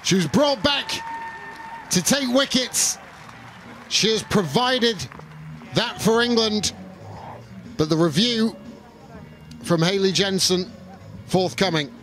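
A man's voice: high-pitched, lively intonation, takes it slowly, pauses often, fairly bright tone, soft background music. A man giving live cricket commentary and analysis.

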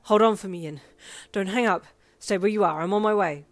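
A woman's voice: medium pitch, fairly quick, dry recording, natural voice. A woman voicing an earnest, courteous young man in an audio story, speaking with urgent, protective authority. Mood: urgent, reassuring. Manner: formal, polite, urgent.

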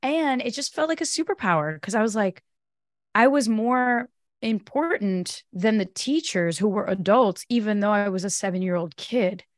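A young adult woman from Brazil, a native Portuguese speaker, telling her story as a podcast guest in a lively, animated way. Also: mid-range voice, normal speed, no echo, clean studio sound. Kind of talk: casual interview chat. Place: home office with bookshelves. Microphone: studio mic on boom arm.

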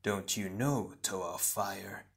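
A menacing shadow creature from a fantasy storybook, acted by a male reader. The voice is low and hushed, hissing and crackling. It taunts slowly, with seductive malice. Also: full character voice. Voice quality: breathy, hissing, crackling whisper. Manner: slow, mocking, seductive menace. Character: sinister shadow double of a fire warrior, taunting, menacing.

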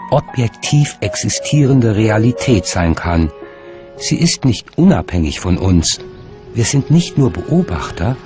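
A man narrates a documentary from a script. His delivery is measured and articulate in a lowish register, and music plays clearly underneath. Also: darkish tone.

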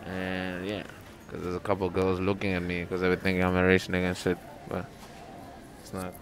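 A man's voice: low voice, monotone, brisk, low-quality recording. A young man in a casual selfie vlog, joking and boasting in street slang as he walks around outdoors, talking into a wired earphone mic. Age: young adult. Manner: joking, swaggering, self-mocking street slang. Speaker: vlogger. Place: outdoors on city streets and inside a shop. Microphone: wired earphone mic, handheld phone from below. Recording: loud street noise from traffic.

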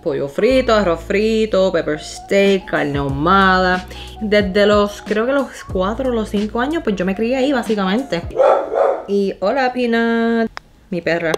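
A woman's voice: medium pitch, measured pace, music in the background. A woman in her twenties from Puerto Rico, with a Puerto Rican accent, telling personal stories in casual, slangy talk mixed with English words. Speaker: vlogger. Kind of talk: casual chat, personal storytelling. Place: living room at home.

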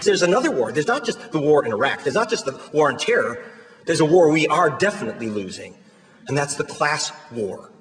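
A man's voice: fairly high pitch, very animated, brisk. A man giving a political lecture as an author. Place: indoor lecture hall. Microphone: lectern microphone. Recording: echoing hall reverb.

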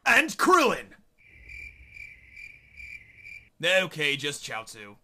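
A man's voice: fairly high pitch, huge pitch swings, unhurried. A man voicing a short, snarky, panicky fighter in an anime parody, whiny and sarcastic. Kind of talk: anime parody dub acting. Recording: noisy, reverberant.

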